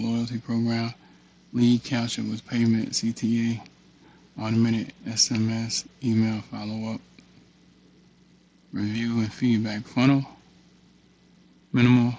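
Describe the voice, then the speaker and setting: A man giving a casual screen-share walkthrough, thinking aloud in a halting mutter and reading text off the screen. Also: mid-range voice, monotone, darkish tone, a little hiss or hum. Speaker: tutorial presenter. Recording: dull, muffled lo-fi audio.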